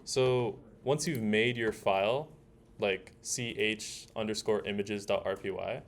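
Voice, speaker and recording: A man leading an informal hands-on tutorial, explaining steps in a casual, halting way as he thinks aloud. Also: on the low side. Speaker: workshop instructor. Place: indoor workshop room. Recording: noisy room with keyboard typing. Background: keyboard typing, room noise.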